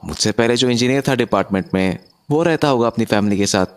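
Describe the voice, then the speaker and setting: A man's voice: mid-range voice, quick pace, clear, resonant tone, natural voice. An actor playing an easygoing, dismissive husband and young father in a horror audio drama, speaking colloquially and telling the story. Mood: casual, dismissive. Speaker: actor and first-person narrator. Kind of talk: Hindi horror audio drama, acted dialogue and narration.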